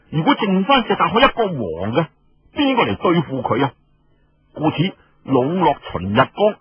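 A man's voice: a little high, husky, no echo, muffled recording. A man with a Cantonese accent telling a story colloquially and dramatically, full of rhetorical questions and exclamations.

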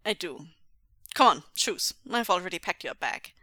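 A woman voicing a calm, affectionate father with a measured, wry manner in a story. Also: lowish voice, dry recording, studio quality, exaggerated voice. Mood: wry, fond.

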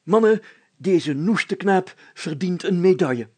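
A man's voice: high voice, expressive, slow, a little mellow, breathy edge, pitched above the performer's own voice, exaggerated voice. A man voicing a pompous, solemn village mayor in a storybook, speaking in a formal, declamatory and long-winded way. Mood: solemn, grandiose. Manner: pompous, declamatory, formal, stammering when flustered.

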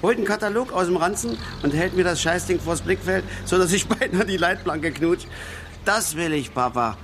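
A man's voice: high voice, moderate pace, music in the background, natural voice. An actor playing a talkative, boastful working-class father down on his luck, telling rambling colloquial stories in a radio play. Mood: eager. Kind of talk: naturalistic German radio play acting.

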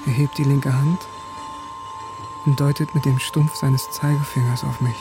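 A man's voice: mid-range voice, little pitch movement, unhurried, close to an everyday voice. A man acting in a radio drama as an embittered, defiant German army sergeant who narrates his own fate with clipped intensity. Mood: grave, brooding. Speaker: lead actor in radio drama. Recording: music behind the voice.

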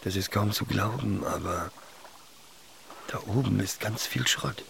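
A pensive, poetic teenage boy, very deep and creaky in voice. He speaks with understated hesitation in a radio drama, over a faint watery background. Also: a little slow, noisy background. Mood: musing. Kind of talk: naturalistic radio play dialogue.

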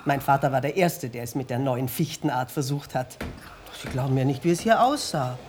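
An actress in a radio play as a sociable, concerned middle-aged country innkeeper, polite and chatty. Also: female voice, a bass voice, a little fast, a little mellow, slight background noise. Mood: reminiscing.

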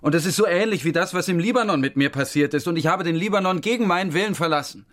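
A man's voice: sits high, fast talker, fairly bright tone. An actor playing a weary, self-doubting Syrian man who tells his story in a brooding way in a radio drama. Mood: hurt, resigned. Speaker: actor and narrator. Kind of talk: radio drama narration and dialogue.

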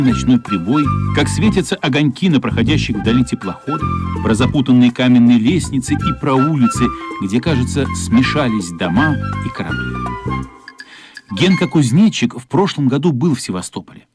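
An actor narrating a children's radio play as a thoughtful storyteller, in an expressive, lyrical literary manner. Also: male voice, medium pitch.

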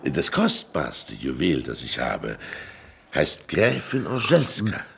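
An actor in a German radio play voicing a worldly, flattering, theatrical middle-aged king. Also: very deep voice, takes it slowly, gravelly, breathy edge, heavily acted. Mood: boastful. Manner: courtly, suave, theatrical. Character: worldly, flattering king nearing fifty, pleasure-loving and theatrical.

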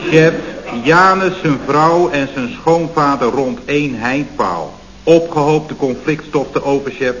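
Pompous, overly formal male underling, fairly high voice, radio comedy, dull hissy recording. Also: unhurried, a bit bright. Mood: pompous concern. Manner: stilted, pompous, keeps addressing the boss. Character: formal, smugly deferential male underling to his boss. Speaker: employee.

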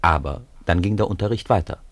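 A man narrating a radio play as a calm storyteller, in a measured, literary manner. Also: low voice, no echo, close to an everyday voice. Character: calm male storyteller of a school tale.

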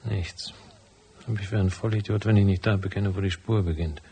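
Hard-boiled private eye, low and gravelly, terse and cynical, radio-drama style. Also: male voice, steady tone, fairly quick, warm tone, slight background noise. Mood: dry, wary. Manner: terse, dry, matter-of-fact. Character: hard-boiled, cynical male private detective, laconic and world-weary. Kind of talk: German radio drama acting and first-person narration.

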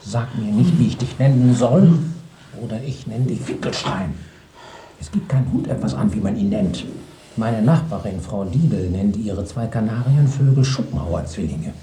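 A woman's voice: a bass voice, lively intonation, moderate pace, mellow, echoey room, slight background noise. An actress playing an exasperated, domineering woman sheltering a fugitive in a German radio drama, scolding impatiently.

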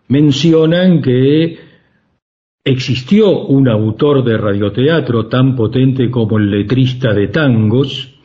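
A man with an Argentine accent hosting a thoughtful radio interview, with formal, deliberate phrasing. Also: medium pitch, lo-fi sound.

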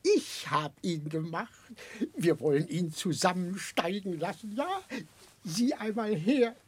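An older man playing a kindly, muddle-headed, childlike eccentric gentleman in a radio drama, husky and confiding, speaking haltingly. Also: high-pitched, expressive, exaggerated voice. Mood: gently proud. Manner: chuckling, halting, confiding, rambling. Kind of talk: 1960s German radio drama acting.

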